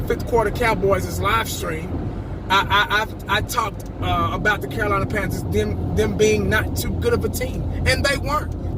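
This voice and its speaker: A passionate man ranting about his football team, with a slightly hoarse, scratchy voice, stumbling and repeating his words. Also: sits high. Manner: passionate, repeats words when starting sentences. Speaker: sports fan vlogger. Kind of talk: casual fan rant to camera.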